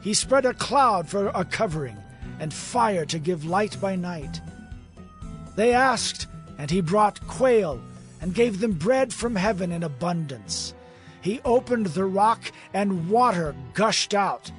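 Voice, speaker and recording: Expressive male Bible narrator, high lively voice. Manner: expressive, measured reading. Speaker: scripture narrator. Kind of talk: dramatized Bible reading. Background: soft music.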